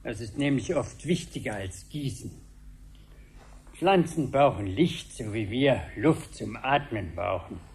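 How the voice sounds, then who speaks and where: A man's voice: medium pitch, lively intonation, unhurried. An actor playing a pedantic, grumbling gardener who loves his plants, in a 1970s German radio drama. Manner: pedantic, reciting Latin names. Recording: faint noise on 1970s radio recording.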